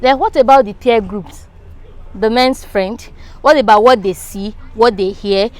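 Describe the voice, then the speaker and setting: A woman's voice: a little high, measured pace. A Nigerian-accented woman giving her opinion conversationally in an interview. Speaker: street interviewee.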